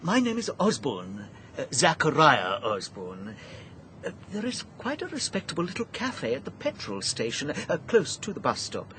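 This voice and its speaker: A man's voice: fairly high pitch, huge pitch swings, moderate pace, noisy background. A male actor with a British accent playing a fussy, obstinate busybody in a radio drama, hesitant, prim and over-polite. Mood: flustered, apologetic. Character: fussy, apologetic, obstinate little busybody gentleman.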